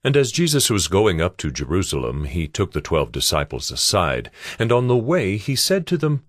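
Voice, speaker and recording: A man's voice: deep voice, huge pitch swings, moderate pace, dry recording, clean studio sound, exaggerated voice. A man playing the solemn narrator of a Bible audio drama, giving a scripted, storytelling read. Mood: solemn narrating.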